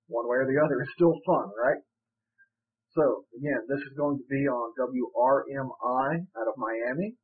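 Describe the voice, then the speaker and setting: A man in his forties speaks as a host addressing his audience with a casual announcement. His mid-pitched voice is husky and unpolished. The recording is thin and band-limited, much like a phone line. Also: normal speed. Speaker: show host. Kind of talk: casual scripted-sounding announcement to viewers. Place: small room indoors. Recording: tinny band-limited, slightly muffled sound.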